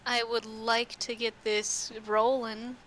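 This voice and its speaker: Bright-voiced woman running a tabletop game. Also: on the high side, level tone, slow, a little hiss or hum. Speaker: game master. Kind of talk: narrating and improvising a tabletop roleplaying game.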